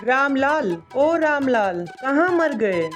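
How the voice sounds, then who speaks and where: Bossy rich wife in a cartoon, very high voice, scolding, snooty, music behind. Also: female voice, big pitch swings, slow, full character voice. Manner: scolding, haughty. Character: domineering bad-tempered rich merchant's wife. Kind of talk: animated moral story dialogue.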